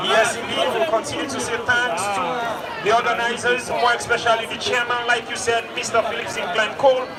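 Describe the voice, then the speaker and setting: A man's voice: extremely high pitch, flat delivery, fairly quick. A man with a Sierra Leonean accent giving live football commentary in emphatic, repetitive phrases. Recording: distant mic in loud crowd. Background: loud crowd at outdoor ground.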